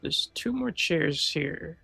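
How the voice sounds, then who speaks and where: A man giving casual, offhand, sweary reactions as a gaming streamer, with a gravelly voice. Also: fairly high pitch, expressive, slow pace, sharp tone. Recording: faint game music behind voice. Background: game music.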